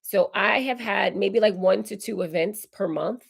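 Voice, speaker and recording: A woman in her forties, hoarse and raspy while recovering from illness, hosting a casual live Q&A and answering viewer comments. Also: medium pitch, a little slow. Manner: chatty, reads viewer comments aloud and answers warmly. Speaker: decor channel host. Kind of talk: live stream Q&A chat.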